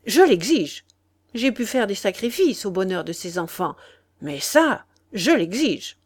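A woman's voice: mid-range voice, expressive, measured pace. A woman reader plays a middle-aged father who is proud and easily angered. He speaks in firm, clipped phrases with raised force. It is read aloud as a storybook. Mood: indignant.

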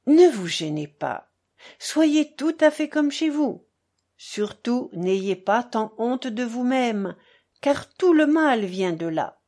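Woman reading as a slow, dignified old monk. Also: fairly high pitch, sing-song, dark tone, voice pushed up, exaggerated voice. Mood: majestic, reassuring. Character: frail, majestic old monastery elder, calm and kindly.

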